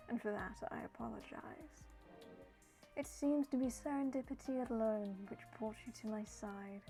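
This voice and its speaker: A courtly, sickly lord of a crumbling castle, voiced by a woman. The speech is formal, melancholy and measured, with a gravelly creak. Faint game music sits underneath. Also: a little high, natural voice, rough recording. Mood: gentle, apologetic. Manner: formal, measured, gently condescending endearments.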